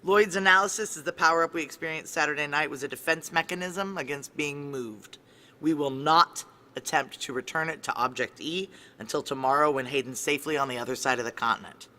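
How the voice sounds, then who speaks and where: Security chief, female, noir radio play. Tough, wry, clipped, low forceful voice. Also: a little fast. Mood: firm, commanding. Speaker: lead actor and narrator. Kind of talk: noir audio drama narration and dialogue.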